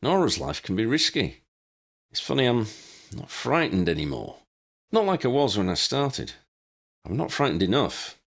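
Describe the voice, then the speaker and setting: An easygoing, cheeky young father from an audiobook, acted by a man. He is plain-spoken and earnest, with a husky voice and very animated intonation. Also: medium pitch, normal speed, long pauses, voice pushed up, exaggerated voice. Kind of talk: audiobook character reading.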